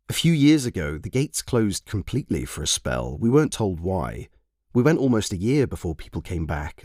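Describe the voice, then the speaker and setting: A man voicing a proud, nostalgic old rollercoaster in a theatrical, measured storybook monologue with deliberate pauses. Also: lowish voice, darkish tone, no echo, studio quality. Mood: wistful. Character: proud, nostalgic old rollercoaster, wistful veteran of a theme park. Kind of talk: dramatic first-person storybook monologue.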